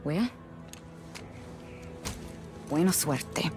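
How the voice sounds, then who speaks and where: A woman's voice: low-pitched, animated, extremely slow, darkish tone, faint music behind, full character voice. A woman voicing an earnest, cautious rebel ally in a video game. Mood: worried, insistent. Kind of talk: video game cutscene acting.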